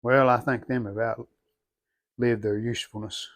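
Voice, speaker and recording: A man's voice: medium pitch, flat delivery, a little slow, a bit bright. Plain-spoken man narrating a hands-on repair. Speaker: hobbyist restorer demonstrating a repair. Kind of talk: casual hands-on how-to narration.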